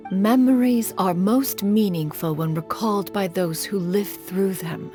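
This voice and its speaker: A woman voicing an aloof, formal female crane immortal who is master to disciples. She speaks in a measured, archaic way and calls herself "one". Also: medium pitch, warm tone, soft background music, lightly acted.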